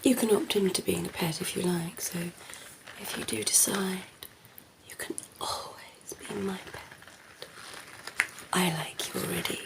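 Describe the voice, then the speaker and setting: A woman voicing a cheeky, flirtatious female vampire in an ASMR roleplay, breathy and often whispering, teasing and cooing with condescending affection. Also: lowish voice, fairly even intonation, measured pace, pauses often, poor audio. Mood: flirty, doting.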